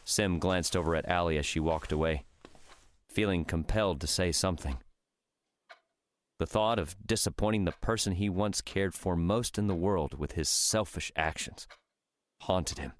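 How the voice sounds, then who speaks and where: Male storyteller for fiction audiobook, deep register, scripted dramatic narration.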